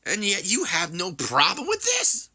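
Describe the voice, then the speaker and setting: A man's voice: on the low side, lively intonation, takes it slowly, bright, forceful tone, full character voice. A man voicing a brash, hot-headed soldier rival in a game story, confrontational and indignant. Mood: challenging.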